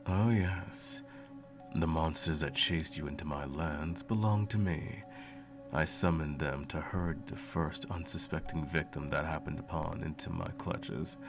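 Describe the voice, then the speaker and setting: A man playing a sadistic sorcerer in an ASMR roleplay, cooing mockingly and condescendingly at a captive pet in a low gravelly murmur. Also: measured pace, over music. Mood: cruel amusement. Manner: teasing, cooing, condescending, theatrical.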